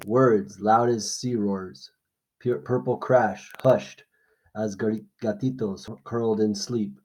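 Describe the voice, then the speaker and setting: A man's voice: fairly low pitch, dark tone, rough recording. A man teaching an informal lesson, reading aloud and explaining things in a plain instructional way. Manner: explanatory, checks understanding with short questions.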